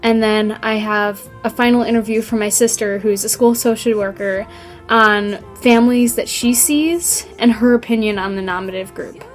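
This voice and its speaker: A woman's voice: on the high side, steady tone, moderate pace, soft background music. A young woman narrating her own documentary in an informal, light way with some fillers.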